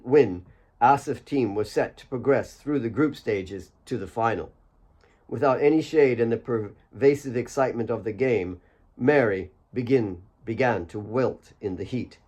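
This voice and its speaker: A man's voice: mid-range voice, darkish tone. A man reading his own fiction as an audiobook, in scripted storytelling narration.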